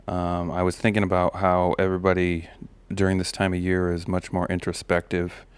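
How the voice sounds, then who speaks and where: A man, a parent, sharing life advice in a relaxed personal monologue, with a slight gravel in his voice and frequent pauses and restarts. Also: low-pitched. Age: adult parent of a daughter. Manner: relaxed, musing, many fillers and restarts. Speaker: podcast host.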